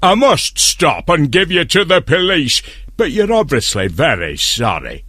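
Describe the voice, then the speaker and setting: Man acting a bright, high-voiced kindly train driver. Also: sing-song, unhurried, husky, no room sound, studio quality, exaggerated voice. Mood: serious, helpful. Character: kind, serious male train driver.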